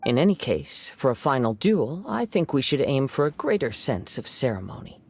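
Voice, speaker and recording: A man voicing a calm, gentle young wandering samurai in a video game, speaking in a soft, reflective way. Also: medium pitch, normal speed, creaky, a little hiss or hum.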